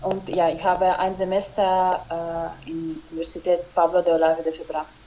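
A woman's voice: on the low side, level tone, normal speed, mellow, a little hiss or hum, low-quality recording. Young woman with a Russian first language. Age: young adult. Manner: hesitant, with frequent fillers. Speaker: interview guest, exchange student. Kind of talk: casual interview answers.

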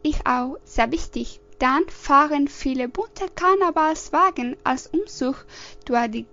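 A woman reading a prepared talk as a student presenter, slow and deliberate. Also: high-pitched, sharp tone. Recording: dull, dry lo-fi recording.

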